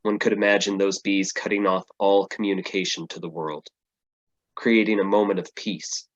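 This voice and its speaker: A man's voice: low voice, level tone, moderate pace, dry recording, dull audio. An actor playing an earnest, solemn male superhero in a staged play reading. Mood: reflective.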